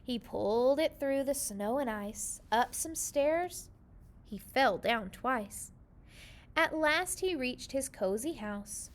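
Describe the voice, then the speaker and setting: A woman reading a children's story aloud in an expressive, animated storytelling manner. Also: high-pitched, takes it slowly. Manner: expressive, animated delivery with character voices. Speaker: storybook reader.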